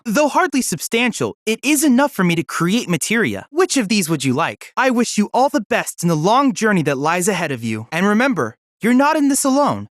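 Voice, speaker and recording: A teenage cyborg researcher from a video game, courteous and chipper. His pitch moves with lively swings while his wording stays formal and exact. The recording is close and dry, with no room sound. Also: no room sound. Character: polite, eager teenage cyborg research boy. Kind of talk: scripted video game character dialogue.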